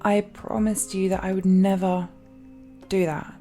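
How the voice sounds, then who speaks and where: A woman playing a possessive, adoring dragon-blooded fiancée in an intimate romantic audio roleplay, halting and emotional, with sighs and murmurs. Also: mid-range voice, little pitch movement, soft background music, natural voice. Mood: remorseful, frustrated. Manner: breathy sighs, halting, emotional delivery with murmurs and soft laughs.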